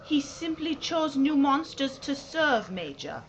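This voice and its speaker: A woman's voice: high-pitched, very animated, slow, muffled recording, a little hiss or hum, full character voice. A woman voicing a seductive, menacing, hungry female vampire in an audio drama. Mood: menacing, mocking.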